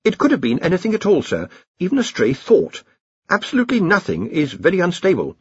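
A man's voice: sits high, huge pitch swings, fairly quick, husky, no room sound, muffled recording, pitched above the performer's own voice, heavily acted. A man voicing an earnest, nervous young wizard researcher in an audiobook, hesitant and deferential. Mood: hesitant, eager.

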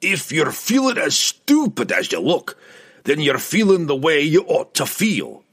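A man voicing a gruff old dwarf king in a fantasy audiobook, blunt and mocking, with rustic dialect. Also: a little high, wildly swooping pitch, unhurried, fairly bright tone, voice pushed up, exaggerated voice. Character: gruff, angry old dwarf king, fatherly but scolding.

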